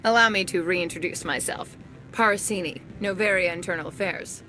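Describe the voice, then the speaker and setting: A woman's voice: lowish voice, pushed and bright, faint music behind, rough recording. Wry, businesslike undercover agent, a woman. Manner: brisk, matter-of-fact, dryly sardonic. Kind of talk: video game dialogue acting.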